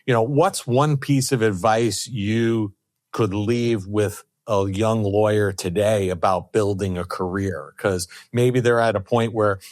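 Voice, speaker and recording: A man's voice: on the low side, fairly even intonation, measured pace, darkish tone, no echo, studio quality. A man hosting a conversational interview, wordy and circling back to rephrase his questions. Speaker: podcast host.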